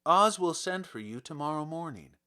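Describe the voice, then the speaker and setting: A man's voice: on the high side, animated, moderate pace, pushed and bright, smooth. A man voicing a polite, helpful young palace maid in a storybook reading. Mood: welcoming. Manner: polite, obliging. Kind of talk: storybook character voice.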